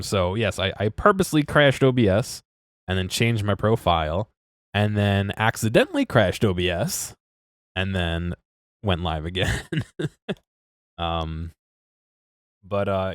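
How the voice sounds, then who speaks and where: Congested streamer, deep voice, rambling chat, dry close mic. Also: male voice, smooth, no room sound. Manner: rambling, self-interrupting, laughs at himself. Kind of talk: casual live-stream chat.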